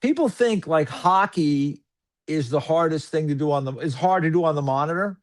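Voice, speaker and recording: A man who calls hockey games, chatting casually on a podcast in a rambling, filler-heavy, animated way. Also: a little high, moderate pace. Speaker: hockey broadcaster guest.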